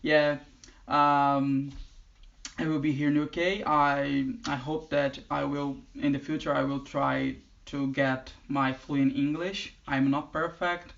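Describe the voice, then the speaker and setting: A man from Brazil, speaking English with a Brazilian Portuguese accent as a non-native speaker, talking casually to camera with halting phrases and frequent restarts. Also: a little high, level tone, gravelly, fairly bright tone, dull audio. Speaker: channel host. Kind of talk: casual vlog talk. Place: indoors in the UK.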